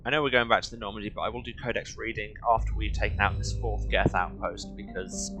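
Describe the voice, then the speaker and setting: A man's voice: lowish voice, fairly even intonation, fast, music in the background. A man giving casual video game playthrough commentary with dry, wry, self-mocking humour. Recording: loud game noise behind voice. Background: video game sound, vehicle noise.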